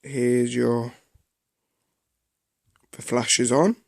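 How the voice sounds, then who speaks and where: A man giving a casual, unscripted product review in a halting way, often repeating himself. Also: mid-range voice, fairly even intonation, gravelly, no room sound, clean studio sound.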